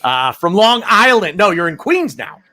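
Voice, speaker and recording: A man's voice: high voice, sing-song, normal speed, pushed and bright. Man, podcast host, casual, joking, rambling intros.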